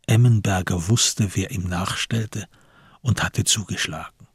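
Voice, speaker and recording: A man narrating a literary radio drama in a measured, grave storytelling manner. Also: low-pitched, husky, no room sound, clean studio sound.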